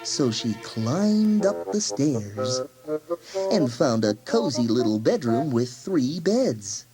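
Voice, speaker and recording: A woman narrates a children's story in a very low voice. Her unhurried delivery rises and falls expressively over clear background music. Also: big pitch swings.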